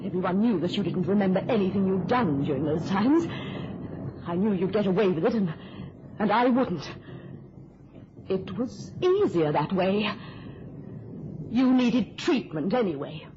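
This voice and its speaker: An actress playing a cold, domineering murderess in an old-time radio drama. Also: female voice, medium pitch, lively intonation, unhurried, pauses often, natural voice. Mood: cold, defensive. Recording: noisy, muffled old radio recording.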